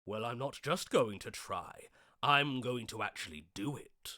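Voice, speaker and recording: An actor voicing a grandiose, lovesick romantic hero in a video game, with florid, theatrical, archaic declamation. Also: male voice, mid-range voice, a little slow, sharp tone, dry recording, studio quality. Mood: grim resolve.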